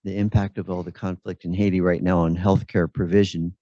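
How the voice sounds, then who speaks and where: A man with a very low voice shares remarks at a symposium, thinking aloud as he goes. His speech is unscripted and halting. The sound is dry and dull, with a lo-fi finish. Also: flat delivery, dark tone, no room sound. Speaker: birth center operator, symposium participant. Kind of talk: spontaneous comment at a symposium. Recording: dull lo-fi audio.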